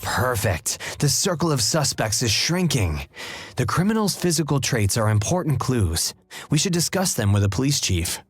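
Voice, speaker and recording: An earnest, determined detective from a mystery game. His mid-pitched voice rises and falls dramatically, over faint background music. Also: sing-song, normal speed, husky, no room sound. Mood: confident. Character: determined male detective talking to his partner. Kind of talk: video game voice acting.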